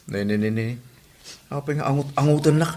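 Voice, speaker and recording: A man's voice: mid-range voice, a little fast, darkish tone, lightly acted. A man with an Ilocano accent playing a whining, henpecked husband in a comedy audio drama. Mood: evasive, grumbling.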